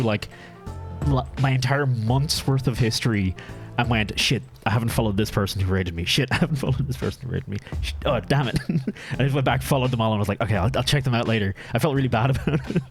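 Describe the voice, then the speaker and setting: Irish man, low-pitched, casual stream chatter, swears a lot, game music playing loudly behind. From Ireland. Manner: chatty, self-deprecating, casual cursing. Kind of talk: casual live-stream chat while gaming.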